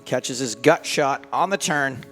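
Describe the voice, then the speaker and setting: A man's voice: mid-range voice, measured pace, sharp tone. A man commentating live on a poker match, mixing play-by-play with joking, wisecracking banter. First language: English. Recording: broadcast with music playing behind. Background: music.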